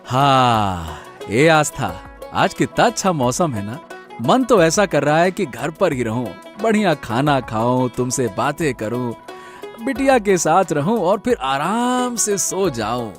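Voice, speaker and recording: A man's voice: a little high, very animated, slow pace, soft background music. A man playing a kind, doting village husband and father in a cartoon story, easygoing and affectionate. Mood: wistful, content. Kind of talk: Hindi cartoon moral story acting.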